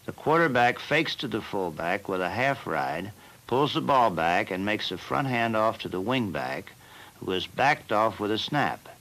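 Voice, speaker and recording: Low-voiced coach explaining football plays. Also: male voice, very animated, a bit bright, rough recording. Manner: measured, plain instructional delivery. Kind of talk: instructional film narration.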